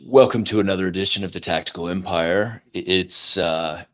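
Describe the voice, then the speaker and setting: A middle-aged man hosting a podcast, talking in a relaxed, rambling way and swearing casually. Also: deep voice, brisk. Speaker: podcast host.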